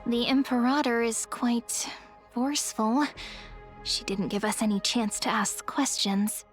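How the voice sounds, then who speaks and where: An actress playing a gentle, observant traveler in a video game, speaking in a polite, musing way. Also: female voice, a little high, unhurried, soft background music, close to an everyday voice. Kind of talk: scripted video game voice acting.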